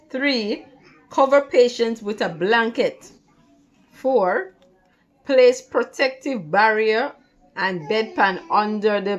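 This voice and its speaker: A woman reads through lesson material as a tutor would, at a slow pace and a mid-range pitch. She is deliberate and breaks the instructions into short, separate phrases, step by step. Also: lo-fi sound. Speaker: nursing assistant tutor. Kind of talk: instructional read-along lesson.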